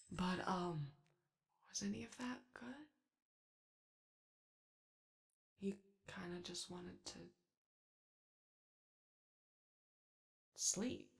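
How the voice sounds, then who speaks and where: A woman's voice: lowish voice, slow, gravelly. A woman playing a shy, chatty animatronic daycare attendant in a roleplay ASMR, rambling tentatively and trailing off. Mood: hesitant, helpful. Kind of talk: roleplay ASMR, improvised-sounding casual chat.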